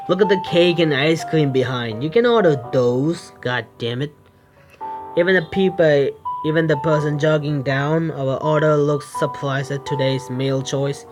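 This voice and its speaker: A man narrates a visual novel as he plays it. His voice is medium in pitch, and he reads informally, tripping over words and correcting himself. Game music is clearly audible behind him. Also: unhurried. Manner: stumbling, informal reader who corrects himself. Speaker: gaming video host. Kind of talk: gameplay read-aloud narration with asides. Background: game background music.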